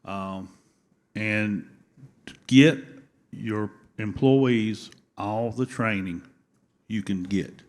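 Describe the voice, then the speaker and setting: A man in his fifties speaks up from the audience with a folksy, appreciative manner. His voice sits on the low side. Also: very slow, long pauses. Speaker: audience member.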